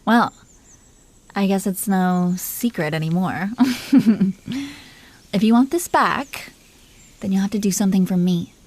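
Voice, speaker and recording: A woman's voice: mid-range voice, a little slow, long pauses, darkish tone, natural voice. A woman playing a possessive, teasing dragon queen in an intimate roleplay audio, commanding yet doting toward her husband. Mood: playfully prying. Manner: playful, commanding, mock-sweet.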